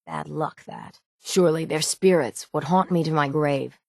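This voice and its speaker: Hard-bitten female shipwright, lowish pitch, salty and plainspoken, stubborn, video game dialogue, dry close sound. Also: moderate pace, creaky, no echo. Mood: bitter, resentful. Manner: salty, plainspoken, folksy nautical talk.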